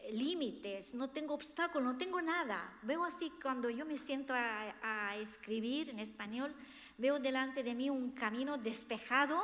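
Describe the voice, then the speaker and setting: High-voiced Romanian woman in an echoey hall. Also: a bit bright. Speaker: author presenting her book. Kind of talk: personal talk to an audience. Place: hall of a cultural institution, before a seated audience. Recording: echoey hall with long reverb.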